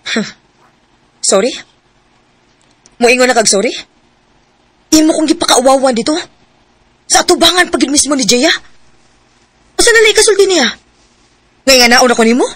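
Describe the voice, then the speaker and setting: A woman's voice: high-pitched, lively intonation, normal speed, pauses often, fairly bright tone, no room sound. A woman with a Filipino Cebuano accent playing a blunt, aggrieved young girlfriend in a radio drama. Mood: angry, wounded. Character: blunt, aggrieved young girlfriend confessing she loves women.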